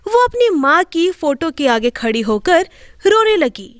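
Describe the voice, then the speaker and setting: Woman narrator, high pitch, unhurried, scripted storytelling, dry studio-like sound. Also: sing-song, no room sound. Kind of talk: scripted moral-tale narration.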